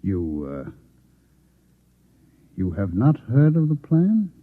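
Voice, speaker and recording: A man playing a coolly menacing Martian infiltrator posing as a newspaper man in an old-time radio drama, measured, formal and insinuating. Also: lowish voice, wildly swooping pitch, heavily acted. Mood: cool menace. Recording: echoey, phone-like old radio drama recording.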